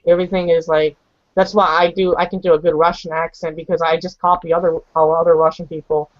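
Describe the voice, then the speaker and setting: A man's voice: a little high, level tone, fast talker, no room sound. A young man teaching English in a casual online group lesson, chatty and joking, with frequent restarts, speaking into a handheld mic at home. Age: young adult. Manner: chatty, lots of restarts and "you know", jokey. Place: small home room with bookshelves. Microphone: handheld mic held close on a video call. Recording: lo-fi video-call audio.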